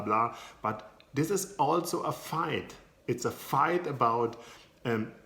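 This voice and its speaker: A man's voice: medium pitch, fairly bright tone. A German man with a German accent, a psychologist and coach talking informally to camera, searching for words with many restarts. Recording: echoey room.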